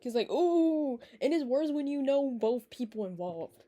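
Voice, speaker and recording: Mellow-voiced young woman reading a game. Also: high voice, lively intonation, measured pace. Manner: chatty, laughing tangents. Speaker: gamer reading a visual novel aloud. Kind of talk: game playthrough with casual asides.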